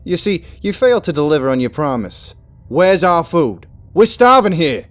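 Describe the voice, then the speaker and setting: A man voicing a furious, foul-mouthed young male student who shouts insults. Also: medium pitch, normal speed, faint music behind, voice pushed up, natural voice.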